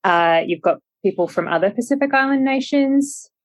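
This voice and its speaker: A woman's voice: a little high, lively intonation, moderate pace, no room sound, studio quality. A young woman with a New Zealand accent, a podcast guest talking thoughtfully with many ums and restarts. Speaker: podcast guest, startup founder. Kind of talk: casual interview chat.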